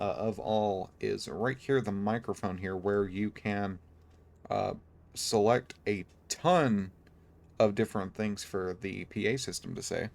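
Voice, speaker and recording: Dude casually walking through a game, low voice with vocal fry, low-key. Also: male voice. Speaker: video game YouTuber. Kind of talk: casual game update commentary.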